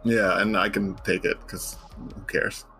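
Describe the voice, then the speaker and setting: A man's voice: lowish voice, steady tone, unhurried, pushed and bright, soft background music. A man talking casually about his own writing in a joking, self-deprecating way. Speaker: guest writer on a fiction stream.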